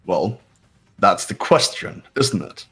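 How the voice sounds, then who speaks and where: A man reading a game character's lines in a calm, measured, slightly smug way, among friends. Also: deep voice, faint music behind. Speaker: player voicing a game character.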